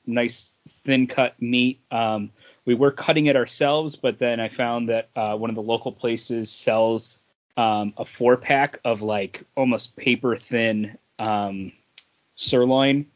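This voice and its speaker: A man's voice: mid-range voice, steady tone, slow pace, highs cut off. A man talking casually as a podcast co-host, explaining things in a conversational way.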